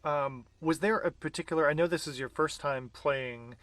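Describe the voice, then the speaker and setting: A man's voice: on the high side, moderate pace, fairly bright tone. A man hosting a casual interview, speaking in an encouraging, conversational way. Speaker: interviewer, host.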